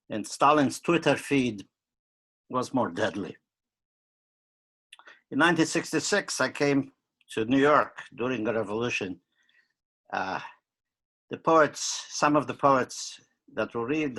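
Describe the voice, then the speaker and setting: An older man from Romania giving wry, deadpan opening remarks with many hesitations, on a webcam video call from a book-lined study at home. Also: mid-range voice, poor audio. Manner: wry, deadpan humor with frequent uh fillers. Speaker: curator and poet. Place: home study with bookshelves, indoors.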